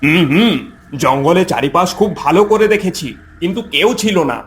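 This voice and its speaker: A man's voice: fairly high pitch, lively intonation, moderate pace, a bit bright. A man voicing a kind-hearted, protective jungle fox in a cartoon story, with an earnest, plaintive delivery.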